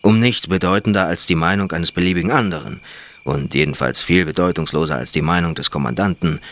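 An actor playing a reserved, educated, skeptical traveller in a German radio play, speaking in a measured, formal way. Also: male voice, low-pitched, fairly bright tone. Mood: reserved, firm.

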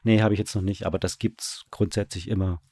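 A man with a low, gravelly, slightly rough voice answers as an interview guest. He talks casually and gropes for his phrasing, recorded dry and without room echo. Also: fairly even intonation, fairly quick, warm tone. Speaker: radio drama director, interview guest.

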